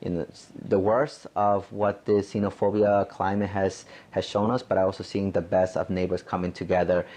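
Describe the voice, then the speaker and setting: Low, even-voiced man speaking earnestly. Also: normal speed, hardly any pauses. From Mexico. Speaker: attorney. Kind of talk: personal testimony in a documentary.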